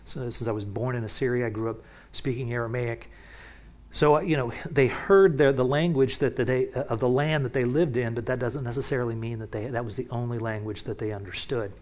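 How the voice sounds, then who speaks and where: A man teaching from the Bible in a relaxed, conversational way, often restarting sentences and picking up energy as he warms to a point. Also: medium pitch, fairly quick. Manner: casual, with many restarts and asides. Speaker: pastor.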